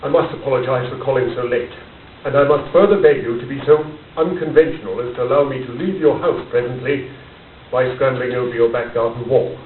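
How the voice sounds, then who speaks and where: A man acting in a radio drama as a driven, weary, guarded gentleman detective, speaking in a formal, grave, deliberate and dramatic way. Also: mid-range voice, steady tone, natural voice. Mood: weary, uneasy. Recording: tinny band-limited, roomy, distant, faint hiss.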